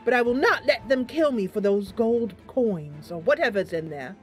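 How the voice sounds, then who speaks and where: A woman's voice: a little high, lively intonation, moderate pace, faint music behind, close to an everyday voice. A woman voicing a haughty female warrior in a fantasy game, speaking in a lofty tone. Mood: haughty, resolute.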